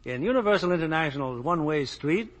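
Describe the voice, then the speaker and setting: A man's voice: on the high side, lively intonation, fairly quick. A man announcing on a 1950s radio show in a scripted presenting style. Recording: vintage radio broadcast, faint noise.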